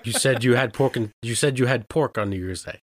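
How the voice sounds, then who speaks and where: A man co-hosting a podcast while he has a cold, chatting in a relaxed, rambling way. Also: medium pitch, brisk, no echo. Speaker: podcast co-host. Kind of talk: casual chat.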